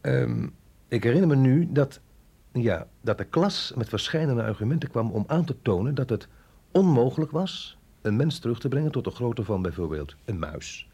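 Sceptische agent met schorre stem. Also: male voice, mid-range voice, expressive, normal speed, vocal fry, dark tone, husky. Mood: hesitant, pondering. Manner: hesitant, questioning, wry. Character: sceptical, wry male agent, an outsider out of his depth. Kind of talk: radio drama acting.

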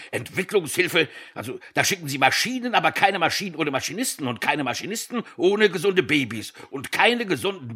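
An actor playing a brisk, self-important company director in a German radio drama, pompous and commanding. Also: male voice, on the high side, sharp tone, husky, exaggerated voice. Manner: pompous, commanding, talks over others.

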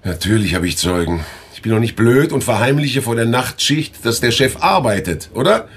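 An actor playing a defensive, indignant small-business boss in a radio drama, flustered and emphatic. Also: male voice, medium pitch, expressive, normal speed, slightly rough.

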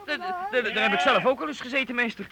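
Very high-voiced curious medieval boy. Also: lively intonation, fast, a crowd in the background. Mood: worried. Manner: wide-eyed, questioning. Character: young medieval boy apprentice, curious and impressionable. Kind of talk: Dutch radio drama acting.

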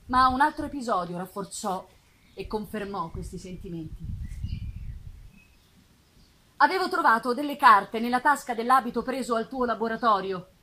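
A woman voicing a lonely, self-loathing male creature in a dramatic literary reading, eloquent and bitter, with measured, solemn phrasing. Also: on the high side, expressive, poor audio. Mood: grave recounting. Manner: measured, solemn phrasing with emphatic swells and hushed drops. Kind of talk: dramatic literary reading, live theatrical performance. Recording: live festival recording with faint noise.

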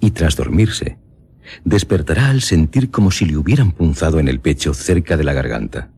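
A man's voice: very deep voice, expressive, normal speed, vocal fry, darkish tone, husky. A man with a Castilian Spanish accent reading a scripted literary narration for a radio drama. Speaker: narrator of story recap.

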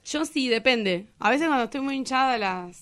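A woman with an Argentine accent, a guest telling animated anecdotes in slang during a casual radio interview. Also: high voice, normal speed. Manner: animated storytelling, quoting dialogue in slang. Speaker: guest, singer and teacher.

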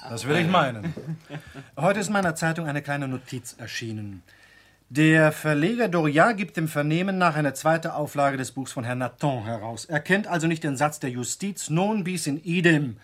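A man's voice: on the high side, lively intonation, normal speed, fairly bright tone. An actor playing a worldly, pragmatic newspaperman in a German radio drama, wry and a little declamatory. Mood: smug, amused.

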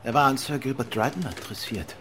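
An actor playing a cool, astute gentleman detective in a radio drama, polite and probing. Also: medium pitch, fairly quick, slight background noise, natural voice. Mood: cool, knowing. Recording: loud vehicle and outdoor ambience behind.

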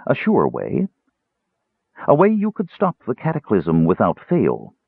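A band-limited, tinny sound frames a man acting a cunning fantasy mage with a creaky, husky voice. He speaks with cool control and quiet command, manipulating his listener in storybook style. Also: mid-range voice, huge pitch swings, unhurried, no echo, voice pushed up, heavily acted. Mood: cool, controlled. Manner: soft-spoken, controlled, commanding. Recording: narrow tinny radio-like band.

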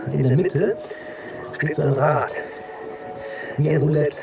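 An actor in a German radio drama playing an anxious, stubborn hypochondriac who broods and justifies himself in a naturalistic way. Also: male voice, on the high side, a little slow, vocal fry, lo-fi sound. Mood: brooding. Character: anxious, stubborn male hypochondriac, technical editor.

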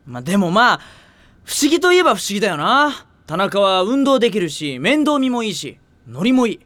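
Friendly wry teen boy, highish and lively, dry studio sound. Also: brisk, no echo, exaggerated voice. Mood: musing. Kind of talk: anime drama CD acting.

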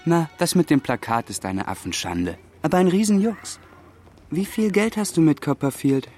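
A cocksure young gentleman at boarding school in a radio drama. He is breezy and coaxing, with a very animated melody and a patronising manner. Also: on the high side, moderate pace, soft background music. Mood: amused, breezy. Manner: breezy, coaxing, self-assured. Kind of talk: German radio drama acting.

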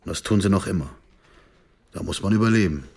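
A cocky clan gangster guy, really deep raspy voice, sly and provocative, radio drama. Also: a little fast, darkish tone, full character voice. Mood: bitter, proud. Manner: provocative, self-assured. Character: proud, sly crime-clan man, self-justifying and insolent. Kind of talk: naturalistic German radio drama acting.

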